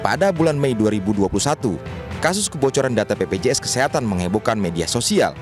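A man's voice: on the low side, animated, quick pace. A man reading a scripted TV news report as voice-over. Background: news background music.

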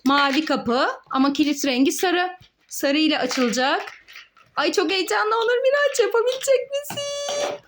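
A woman hosting a children's play challenge as a mother, giving excited, encouraging play-along commentary in a sing-song way with repeated words. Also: very high voice, normal speed, slight background noise.

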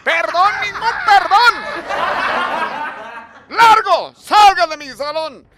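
A man's voice: very high-pitched, animated, slow, a crowd in the background, noisy background, pitched above the performer's own voice, exaggerated voice. A man playing an irate, bullying schoolteacher with a Bolivian accent in a comic sketch, scolding and drawing out exasperated words. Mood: furious. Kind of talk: comic monologue, classroom sketch.

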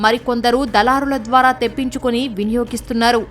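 A woman's voice: a little high, fairly even intonation, fast talker, distant mic, soft background music. A woman reads scripted TV news narration as a reporter.

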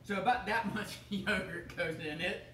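A man's voice: sits high, moderate pace, bright, forceful tone, slight background noise. A young man playing a goofy, bumbling male TV chef who rambles, chuckles and fumbles in a home kitchen. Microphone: camera across the room. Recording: echoey room, mic from far off.

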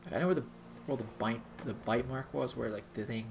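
A man's voice: medium pitch, brisk. A man giving live gameplay commentary, rambling and thinking aloud as he plays. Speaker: gaming YouTuber, player. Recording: clearly noisy, game sounds behind. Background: video game ambience.

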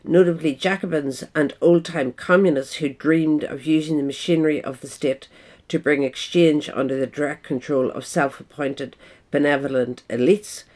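A man reading a scripted essay in a measured, formal manner. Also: on the high side. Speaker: reader.

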